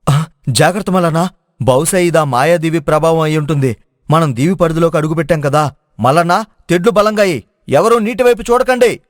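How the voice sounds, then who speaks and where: A man voicing a knowing, cautious village guide in an animated moral story, speaking in an urgent, explanatory manner. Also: a little high, brisk, dry recording, studio quality, natural voice.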